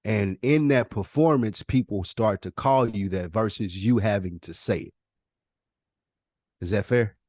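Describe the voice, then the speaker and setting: Lower-voiced man hosting, dry sound. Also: a little slow, a little mellow, no room sound. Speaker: podcast host. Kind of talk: casual hosted conversation.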